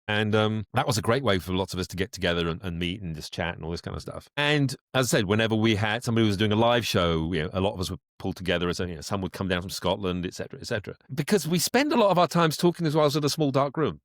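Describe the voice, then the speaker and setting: A man with a fairly low voice, recorded close and dry. He chats as an interview guest, talkative and inclined to wander through his explanations. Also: lively intonation, racing, few pauses, dry recording. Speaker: guest, voice actor and director. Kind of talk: conversational podcast interview.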